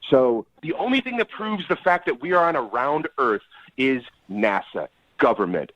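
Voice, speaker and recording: A man's voice: medium pitch, lively intonation, moderate pace, dry recording, muffled recording. A man calling in to a dating show, arguing in an insistent, preachy way and repeating himself. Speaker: dating show call-in guest. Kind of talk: argumentative phone chat.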